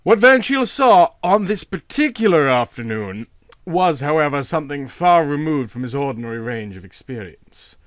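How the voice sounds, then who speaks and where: A man reading a literary short story aloud as an expressive narrator. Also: fairly high pitch, dry recording, clean studio sound.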